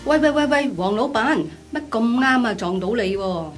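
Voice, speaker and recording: A woman's voice: on the high side, animated, normal speed, close to an everyday voice. A woman with a Hong Kong Cantonese accent playing a chatty, pleading orphanage director in a radio drama. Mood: gushing. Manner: exclamatory, persistent. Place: small padded radio studio. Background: music.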